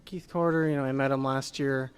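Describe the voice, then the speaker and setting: A young man, a guest in a casual interview, polite and soft-spoken, answering with many pauses and fillers. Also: medium pitch, fairly even intonation. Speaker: guest, group sales consultant.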